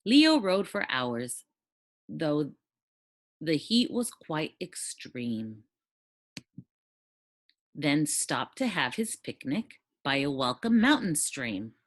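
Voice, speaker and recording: A woman giving an expressive read-aloud narration of a children's storybook. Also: sits low, takes it slowly, pauses often, no echo. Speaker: storybook reader.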